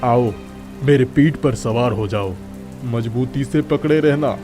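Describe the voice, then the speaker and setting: A man's voice: mid-range voice, takes it slowly, natural voice. Man voicing a brave, protective uncle over music. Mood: reassuring. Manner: reassuring, emphatic. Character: brave, protective village uncle rescuing children. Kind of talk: animated moral story dialogue.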